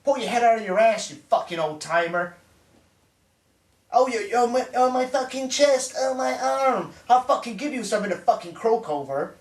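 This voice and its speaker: A man playing a profane, bullying spoiled son of a crime boss, in a dark comic drama. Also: high voice, expressive, fast, few pauses. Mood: angry, taunting. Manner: profane, aggressive, ranting. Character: foul-mouthed bullying spoiled heir of a crime boss father. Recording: roomy echo, distant microphone.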